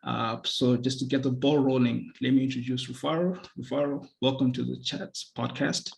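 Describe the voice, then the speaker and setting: A young man hosting a casual podcast interview, relaxed, with frequent filler sounds as he talks. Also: mid-range voice, moderate pace, creaky, rough recording. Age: young adult. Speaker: podcast host.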